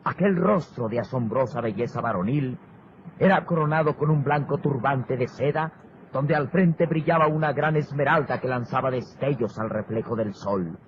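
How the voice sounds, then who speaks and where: An actor playing the grandiose narrator of an old radio adventure serial, declaiming florid descriptions. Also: male voice, mid-range voice, moderate pace, close to an everyday voice. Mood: admiring, dramatic. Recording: noisy, muffled vintage radio recording. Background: music, sound effects and ambience.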